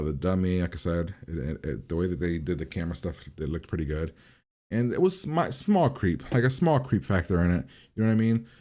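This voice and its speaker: A man's voice: sits low, dark tone. A man hosting a reaction video, giving a casual, informal review and rambling as he repeats and revises his points.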